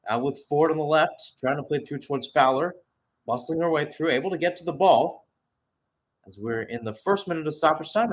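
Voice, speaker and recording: A man's voice: a little high, a bit bright. A man giving live play-by-play football commentary.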